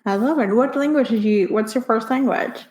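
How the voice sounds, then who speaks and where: A woman's voice: a little high, moderate pace, a little mellow. A woman hosting a book podcast, with English as her second language, encouraging her guests with frequent mm-hmms. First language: not English. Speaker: podcast host. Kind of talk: casual interview chat.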